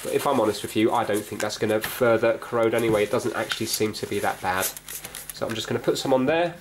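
A man's voice: fairly low pitch, fast. Man thinking aloud over noisy background. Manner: thinks aloud, tentative, explains each step. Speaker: host of a repair video. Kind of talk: casual hands-on narration while working. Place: indoors at a cluttered workbench. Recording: clear background noise, clicks from handling.

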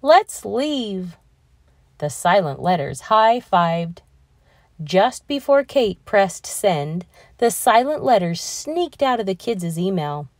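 Woman reading a children's story, lively pitch. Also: slow pace, dry recording, clean studio sound. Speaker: picture book reader. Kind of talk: expressive children's read-aloud narration.

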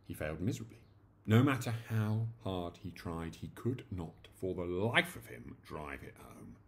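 Male narrator, 40s, very low voice with lively swoops, theatrical comic storytelling. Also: moderate pace. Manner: theatrical, animated. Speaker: story reader. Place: small indoor room at home.

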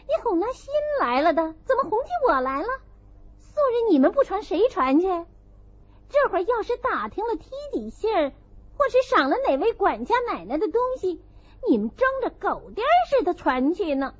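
A woman voicing an indignant, quick-tongued young servant maid who scolds rapidly in an audiobook story. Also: extremely high pitch, big pitch swings, highs cut off, pitched above the performer's own voice.

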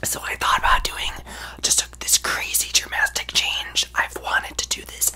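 A young man voicing a brash, self-absorbed young female pop star in a breathy, gushing ASMR whisper close to the microphone. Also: moderate pace, sharp tone, rough recording. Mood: boastful, gushing. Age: young adult. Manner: gushing, exaggerated, boastful, rambling. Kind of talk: ASMR roleplay, celebrity parody.

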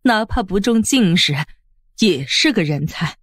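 A woman playing a composed, approving elderly noblewoman in an audiobook drama, speaking in a measured, assured way. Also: medium pitch, lively intonation, no echo, clean studio sound.

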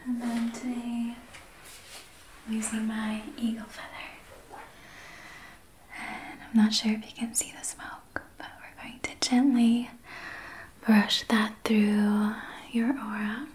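A woman speaking in a breathy whisper, gently and soothingly guiding a healing session in ASMR style. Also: a little high, level tone, slight background noise. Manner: slow, gentle, soothing guidance. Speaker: ASMR host, Reiki practitioner.

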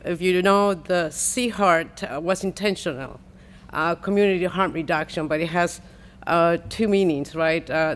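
A woman, a county health official, giving press conference remarks in a deliberate way with many pauses and fillers, at a podium mic in a large hall. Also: on the low side.

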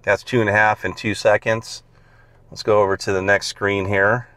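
Smooth-voiced man touring a car. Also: on the low side, little pitch movement, normal speed, fairly bright tone, dry recording. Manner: explains features step by step with frequent fillers. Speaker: car salesman. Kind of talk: casual car walkaround. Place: in and around a car outdoors on a dealer lot.

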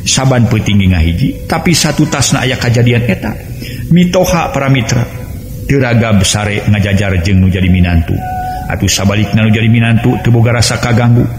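A man's voice: on the low side, normal speed. A man with a West Javanese accent narrating a serial folk tale in a dramatic storytelling style. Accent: Sundanese-language region of West Java, Indonesia. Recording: roomy echo with music underneath.

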